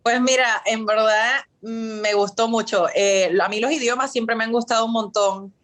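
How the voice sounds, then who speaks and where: A woman's voice: on the high side, steady tone, moderate pace, a bit bright. A woman in her thirties from Puerto Rico with a Puerto Rican accent, answering interview questions in a chatty way, full of fillers and English words, over a video call. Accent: Puerto Rican Spanish. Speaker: guest.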